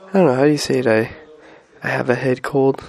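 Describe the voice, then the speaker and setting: A man's voice: medium pitch, fairly even intonation, fast talker, vocal fry, a little mellow, poor audio. A young man on a mission, talking casually through his week with many fillers and restarts while stuffy with a head cold. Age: young adult. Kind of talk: casual weekly update vlog.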